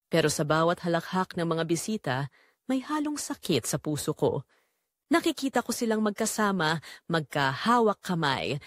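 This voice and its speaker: A woman narrating as a guilt-ridden, secretly longing mother in a scripted audio drama, with a measured, confessional delivery. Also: medium pitch, dry recording, studio quality, natural voice.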